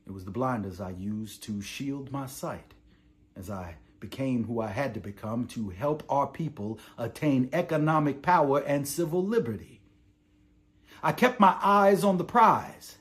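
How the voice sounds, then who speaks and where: A male actor plays an imprisoned revolutionary leader, worn down yet defiant. His mid-pitched voice carries a stage monologue in the form of a letter home. The delivery is measured and oratorical, with the weight of serious theatre. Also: close to an everyday voice. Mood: regretful, then anguished, fervent. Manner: deliberate oratorical delivery swinging from hushed to impassioned. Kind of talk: dramatic theatrical monologue, letter read aloud.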